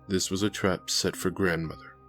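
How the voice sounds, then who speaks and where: Man voicing a deep, grave cat man. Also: flat delivery, normal speed, faint music behind, lightly acted. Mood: grave, terse. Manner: terse, grave, formal. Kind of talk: audio drama acting.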